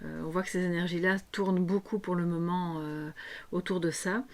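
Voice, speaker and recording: A woman with a somewhat low voice and a slight hoarseness guides viewers through a tarot reading. She talks off the cuff, explaining as she goes and checking in with her listeners. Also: steady tone, moderate pace. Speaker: tarot reader and coach hosting a guidance video. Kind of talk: unscripted spiritual guidance talk to viewers.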